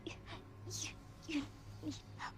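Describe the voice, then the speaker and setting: An actress voicing a frightened, hesitant little girl in a horror video game. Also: very slow. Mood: terrified. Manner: hesitant, fearful. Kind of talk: video game cutscene acting.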